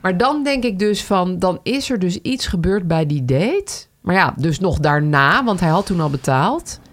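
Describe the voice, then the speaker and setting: A woman co-hosting a podcast, chatting casually, reading out listener stories and quickly sounding appalled, with frequent laughs. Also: on the low side, very animated. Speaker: podcast co-host.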